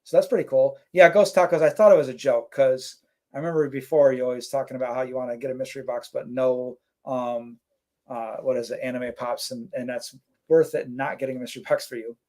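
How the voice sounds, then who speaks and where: A man's voice: medium pitch, fairly quick. A man co-hosting a casual live stream, reading out chat comments and rambling with lots of fillers. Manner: relaxed, rambling, many fillers.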